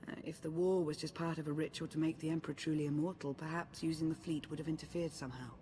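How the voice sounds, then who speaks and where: Casting: a man as a calm, scholarly adviser, fairly high voice, composed. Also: fast, slight background noise, lightly acted. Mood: measured. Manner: composed, explanatory. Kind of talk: video game cutscene acting.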